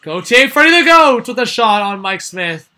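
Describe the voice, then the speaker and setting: A man's voice: very high-pitched, very animated, moderate pace, sharp tone. A young man hosting a live hockey watch-along, chatting with viewers and calling plays while losing his voice. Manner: rambling, talks to chat viewers. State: losing his voice, slight cough. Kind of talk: casual live play-by-play chat.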